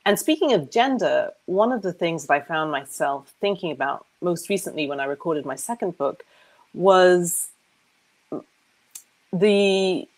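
Low-voiced woman interviewing on a video call. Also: expressive, measured pace, low-quality recording. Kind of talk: casual video-call conversation. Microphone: wired earbuds.

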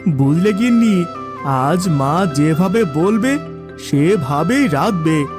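High-voiced, humble poor villager. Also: male voice, animated, slow pace, over music. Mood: grateful, eager. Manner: pleading, plaintive, earnest. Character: poor, humble, devoted village son and husband. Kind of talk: animated fairy tale acting.

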